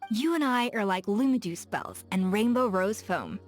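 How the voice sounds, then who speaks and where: A woman's voice: mid-range voice, lively intonation, moderate pace, soft background music, natural voice. Woman playing a self-assured, teasing chef. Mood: confident. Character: confident, playful young woman chef, proud and curious. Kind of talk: game character voice lines.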